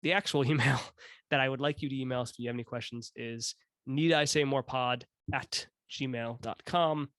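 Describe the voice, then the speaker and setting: A man's voice: mid-range voice, fairly even intonation, normal speed, a bit bright, no room sound, studio quality. A man around thirty hosting a podcast, talking casually in a rambling, joking and self-deprecating way, cursing now and then. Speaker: podcast host.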